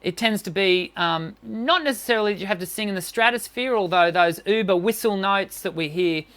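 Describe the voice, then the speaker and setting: A woman's voice: medium pitch, a little fast, fairly bright tone. A woman voice teacher talking through a live-stream singing lesson, chatty, with many fillers and restarts. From Western country.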